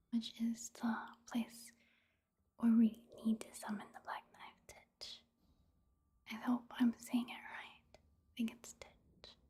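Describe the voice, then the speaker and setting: A woman giving soft-spoken ASMR game commentary in a hushed, breathy whisper, in a gentle, casual, unhurried manner. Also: on the high side. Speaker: gaming ASMR video host.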